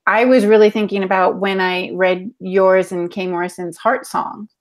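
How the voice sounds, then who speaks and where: A woman's voice: medium pitch, fairly even intonation, normal speed. Female panelist, middle-aged, mid-range voice, casual and emphatic, earbud mic on a call. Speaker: panelist reading chat questions. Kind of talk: casual chat. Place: indoor home room, on a video call. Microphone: earbud headset.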